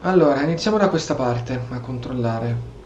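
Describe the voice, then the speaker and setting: A man's voice: mid-range voice, animated, brisk, highs cut off. A man with an Italian accent giving casual commentary as he plays a video game. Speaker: gaming streamer. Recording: echoey room, faint game noise.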